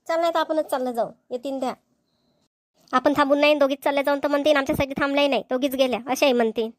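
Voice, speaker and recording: Woman as cartoon village housewife, high pitch, rapid talk, gossipy, impatient, Vidarbha Marathi accent. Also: steady tone, dry recording, studio quality, lightly acted. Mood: impatient, grumbling. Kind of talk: cartoon comedy dialogue.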